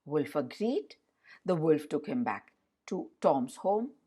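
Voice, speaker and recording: A woman storyteller narrating a fairy tale for children in an expressive way, addressing her listeners directly. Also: deep voice, slow, slightly rough.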